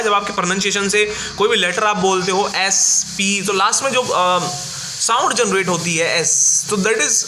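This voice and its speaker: Man, Indian accent, bright forceful tone, informal lecturing, tag questions, echoey room with faint noise. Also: high voice. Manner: didactic, often checking in with tag questions. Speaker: engineering tutor. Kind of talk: informal explanatory lecture mixing Hindi and English.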